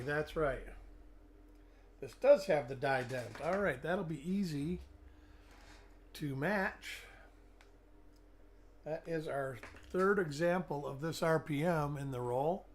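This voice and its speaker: A man's voice: on the high side, slow pace, long pauses, a little hiss or hum. A middle-aged man hosting a live coin-hunting stream with a weak, fading voice after an illness. He chats along, greeting viewers by name while looking over coins. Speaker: host.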